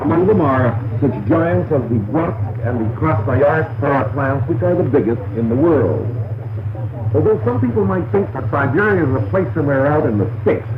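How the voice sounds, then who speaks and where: Man on a crackly echoing shortwave broadcast. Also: fairly high pitch. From Soviet Union. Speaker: radio commentator answering listeners' questions. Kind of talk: semi-scripted question-and-answer radio talk. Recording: noisy, echoey, narrow-band 1960s shortwave broadcast. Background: shortwave radio noise.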